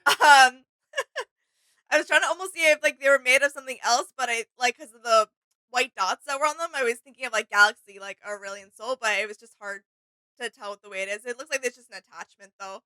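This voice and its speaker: A woman's voice: on the high side, fast talker, bright, forceful tone, no room sound, rough recording. A woman co-hosting a reaction video, chatting casually and agreeably. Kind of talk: casual chat, reaction commentary. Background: music.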